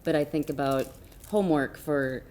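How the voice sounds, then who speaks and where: A woman's voice: low-pitched, moderate pace, darkish tone. An American woman giving an informal spoken introduction at a meeting, as a school staff member would. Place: meeting room at a school board session.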